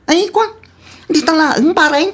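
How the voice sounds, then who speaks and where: A woman's voice: sits high, slow. A woman playing a giggly, boastful, flashy male buddy in a comedy audio drama.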